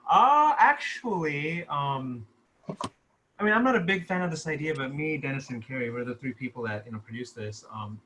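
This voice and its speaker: Man, writer-producer, informal explanatory talk, casual video call, clear background noise. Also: mid-range voice, animated, moderate pace, bright, forceful tone, low-quality recording. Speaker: writer and producer of a short film.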